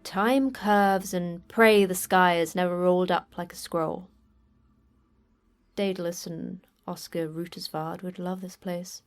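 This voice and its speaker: An actress playing a terse, unflappable manager of a secret facility in an audio drama, with a brisk, dry delivery. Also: female voice, fairly low pitch, fairly even intonation. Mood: dry, deadpan.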